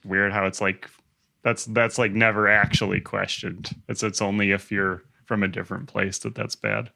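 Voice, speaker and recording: A man offers wry remarks in a relaxed podcast conversation. His voice is fairly low yet bright and forward. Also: moderate pace, gravelly. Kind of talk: casual podcast chat.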